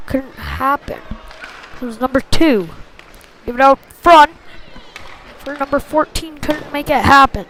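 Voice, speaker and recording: High-pitched woman calling hockey, noisy background. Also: measured pace, pauses often. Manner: short clipped phrases, excited on big plays. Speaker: play-by-play commentator. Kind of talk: live youth ice hockey commentary.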